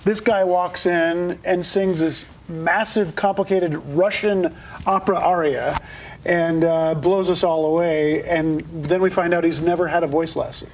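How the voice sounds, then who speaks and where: A man's voice: on the high side, fairly even intonation, a little slow, slight background noise. A man who runs an opera festival, speaking enthusiastically as a guest on a morning TV show in a studio. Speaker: opera festival executive director.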